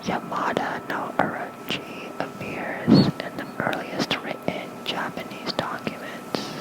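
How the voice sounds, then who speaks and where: A gentle ASMR host who whispers throughout, breathy and very slow. The delivery is a hushed bedtime reading with small murmured asides, spoken close into a covered microphone. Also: poor audio. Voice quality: soft, unvoiced, breathy whisper. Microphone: close mic with fluffy cover.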